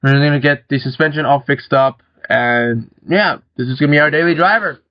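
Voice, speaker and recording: A young man giving relaxed, rambling gameplay commentary, swearing casually as he talks. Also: fairly high pitch, fairly quick, no echo, lo-fi sound. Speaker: gaming channel host.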